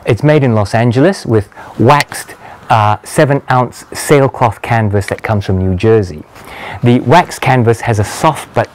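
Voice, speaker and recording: A man in his sixties giving a casual product review to camera in a measured, understated, conversational way. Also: mid-range voice, a little hiss or hum. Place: small walled garden patio, outdoors. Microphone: lapel mic.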